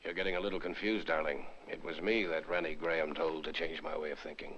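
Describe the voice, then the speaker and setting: An actor playing a bedridden, suspicious, jealous husband in a 1950s Hollywood melodrama, speaking in a cold, deliberate, accusing way. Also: male voice, rumbling low, level tone, a bit bright. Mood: probing. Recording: noisy vintage 1950s film soundtrack.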